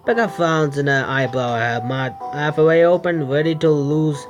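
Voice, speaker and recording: A man's voice: fairly high pitch, moderate pace, muffled recording. Man reading a game aloud over music. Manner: stumbling, informal reader who corrects himself. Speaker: gaming video host. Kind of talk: gameplay read-aloud narration with asides. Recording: music clearly behind the voice. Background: game background music.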